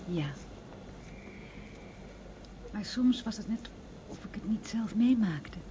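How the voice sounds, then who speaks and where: Actress as a slow, hesitant woman, noisy recording. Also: mid-range voice, warm tone, close to an everyday voice. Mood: hesitant, reflective. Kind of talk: radio drama acting, naturalistic dialogue. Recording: clearly noisy background.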